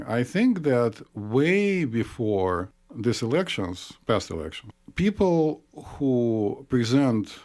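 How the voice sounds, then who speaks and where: A man with a Russian accent, co-hosting a psychology podcast in a measured, explanatory way with frequent pauses. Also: medium pitch, a little mellow. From Soviet Union. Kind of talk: casual podcast discussion.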